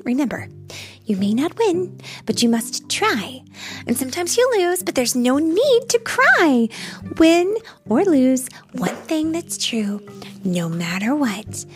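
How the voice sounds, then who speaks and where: A woman's voice: high voice, very animated, slow pace, faint music behind, voice pushed up, full character voice. A woman voicing an eager, cheerful young girl in a storybook, bossy and instructive in a sing-song way. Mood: reassuring.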